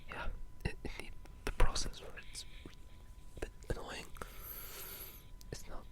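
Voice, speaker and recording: Whispering ASMR gamer, breathy and rambling. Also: moderate pace, sharp tone. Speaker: gaming ASMR video creator. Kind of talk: whispered ASMR casual game commentary.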